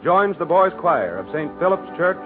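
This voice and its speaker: Animated 1940s American radio announcer, tinny sound. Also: male voice, a little high, music in the background. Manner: measured, formal, declamatory.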